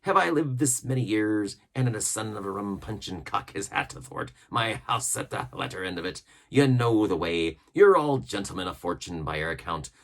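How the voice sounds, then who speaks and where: Storybook pirate captain, played by a male reader: old, menacing, swaggering, gravelly, with big swings in pitch. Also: animated, moderate pace, few pauses, a bit bright. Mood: furious challenge. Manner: menacing, swaggering, uses old nautical slang. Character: fierce, commanding old one-legged pirate ship's cook. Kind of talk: storybook character voice.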